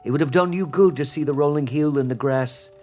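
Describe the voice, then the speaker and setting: A man's voice: a little high, fairly quick, dark tone, soft background music, lightly acted. An actor playing a loving, grieving father who keeps a brave face, speaking tenderly and earnestly in a radio play. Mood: tender grief. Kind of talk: theatrical radio-play acting.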